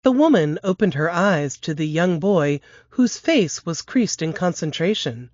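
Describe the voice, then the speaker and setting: A woman reading aloud from her novel in a steady, measured narrating voice. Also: on the low side, no room sound, dull audio. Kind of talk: audiobook-style novel reading.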